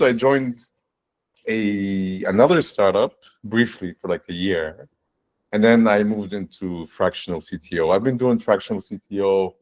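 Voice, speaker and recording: Man in his forties, lowish voice, casual video call, relaxed explainer, restarts sentences. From born in the United States, raised in Saudi Arabia and Canada. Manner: relaxed, code-switches, frequent restarts and "right?". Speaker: guest, fractional CTO. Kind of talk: casual fireside chat, explaining.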